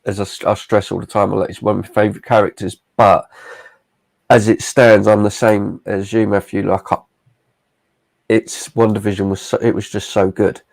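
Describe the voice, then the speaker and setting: A man talking as a panelist in a casual live discussion, enthusiastic and quick to agree. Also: on the low side, level tone, gravelly.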